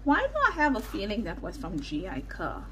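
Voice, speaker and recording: A woman's voice: mid-range voice, wildly swooping pitch, fairly quick, crowd noise. A woman from South Africa with a South African Indian English accent, chatting casually as she works through a crafting video at her desk, rambling and correcting herself with muttered asides. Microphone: overhead camera setup, hands only in frame.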